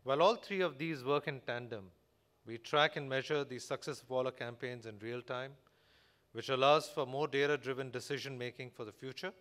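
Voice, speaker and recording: A man's voice: mid-range voice, brisk, a bit bright. Indian man giving a formal keynote. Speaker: corporate executive giving a keynote speech. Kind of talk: prepared conference presentation read from a script. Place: large hotel conference hall, on stage at a podium.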